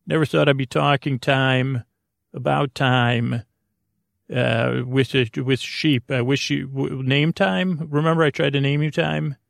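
A man hosting a podcast in a rambling, whimsical bedtime monologue, halting and stammering, trailing off mid-thought with deadpan, absurd asides. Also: mid-range voice, gravelly, no room sound, studio quality. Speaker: podcast host.

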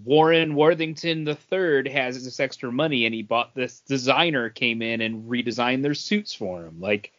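A man co-hosting a podcast, talking casually about comic books in a halting way that often rephrases itself. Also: mid-range voice, fairly bright tone, no room sound, highs cut off. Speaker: podcast co-host. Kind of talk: casual comic book discussion.

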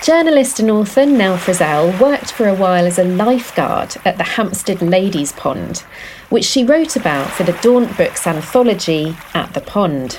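A woman host reading a scripted introduction in a measured way, then asking interview questions. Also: medium pitch, expressive, a little mellow, a little hiss or hum, poor audio. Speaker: podcast host.

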